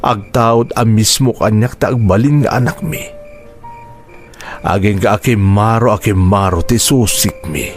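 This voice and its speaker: A man's voice: on the low side, unhurried, soft background music, close to an everyday voice. A man playing a middle-aged, remorseful father in an audio drama, patient, earnest and pleading. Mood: solemn, confessional. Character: remorseful, patient father confessing to his son. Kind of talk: Ilocano audio drama acting.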